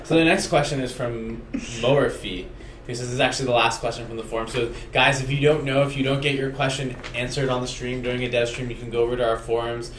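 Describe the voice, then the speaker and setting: A man's voice: mid-range voice, steady tone, fast, roomy sound, background noise. A man hosting a game developer stream, reading out viewer questions and speaking straight to the audience in an upbeat way. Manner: upbeat, addresses viewers directly. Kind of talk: casual dev stream hosting.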